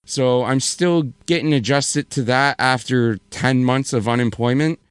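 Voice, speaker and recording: A man with a hoarse voice co-hosting a casual podcast, rambling and correcting himself as he goes. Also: mid-range voice, steady tone, unhurried, no echo. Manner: rambling, self-correcting, apologetic. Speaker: podcast co-host.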